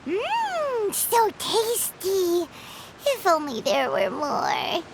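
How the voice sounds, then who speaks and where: Squeaky, very high childlike fairy. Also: female voice, huge pitch swings, drawn-out words, creaky, slight background noise, exaggerated voice. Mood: delighted. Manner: refers to self in third person, exclamatory. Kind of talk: video game voice acting. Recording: loud music under the voice.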